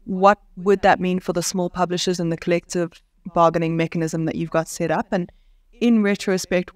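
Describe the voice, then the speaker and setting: A woman's voice: lowish voice, darkish tone, no echo. A woman from asking a question at a conference. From South Africa. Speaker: audience questioner.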